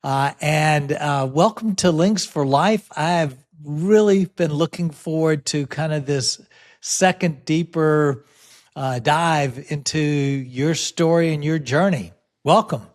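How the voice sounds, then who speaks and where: A male podcast host leads a relaxed interview. He talks slowly and leaves plenty of pauses and "uh" fillers. His voice sits fairly high for a man. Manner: unhurried, friendly, frequent "uh" fillers.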